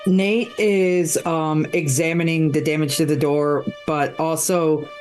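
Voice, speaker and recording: A man's voice: fairly high pitch, steady tone, a little slow, darkish tone, soft background music. A man playing a tabletop roleplaying game, casually describing what his character does.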